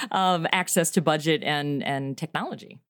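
A middle-aged woman talking through her creative work in a relaxed interview, upbeat and laughing easily. Her voice sits a little low for a woman. The recording is dry and close, with no room around it. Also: dry recording. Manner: animated, laughs often, quick asides. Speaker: podcast creator and guest. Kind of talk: casual interview chat.

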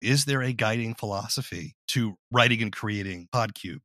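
A man interviewing guests in a conversational, measured and inquisitive manner. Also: fairly low pitch, bright, forceful tone, no echo, clean studio sound.

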